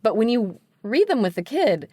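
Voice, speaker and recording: A woman's voice: on the high side, big pitch swings, normal speed, warm tone, no echo, clean studio sound. A woman speaking as an interview guest, explaining things casually in very informal, conversational language. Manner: informal, peppering speech with "like". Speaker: interview guest, book editor.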